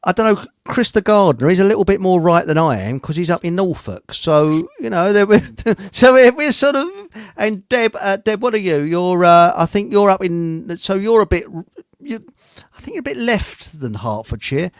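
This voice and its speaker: A man's voice: sits high, animated, moderate pace, no room sound, studio quality. A man from England hosting a casual online chat show, rambling and chatty, stumbling over his words. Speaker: host.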